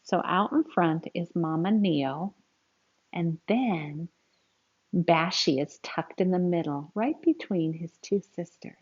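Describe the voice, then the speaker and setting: A woman reading a picture book aloud to young children in an expressive, teacherly way, speaking to them directly as she goes. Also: fairly low pitch, slow, husky, lo-fi sound. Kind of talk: read-aloud storytelling with asides to young listeners.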